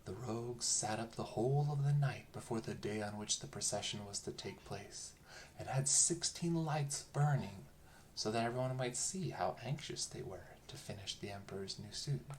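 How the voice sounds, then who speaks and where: A storyteller narrating a bedtime fairy tale in a calm, soothing, lilting manner. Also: mid-range voice, normal speed, a little hiss or hum.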